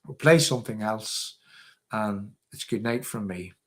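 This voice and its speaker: A man's voice: lowish voice, measured pace. A man with a Northern Irish accent hosts a live political stream, giving off-the-cuff, opinionated commentary and repeating phrases for emphasis. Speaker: host of a political livestream.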